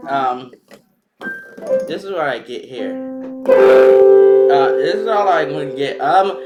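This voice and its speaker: Male vlogger with a lively, rambling delivery. Loud piano music in the background. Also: fairly high pitch, slow pace, rough recording. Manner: meandering, hesitant, with frequent ums and restarts. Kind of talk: rambling casual monologue. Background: loud piano and keyboard music.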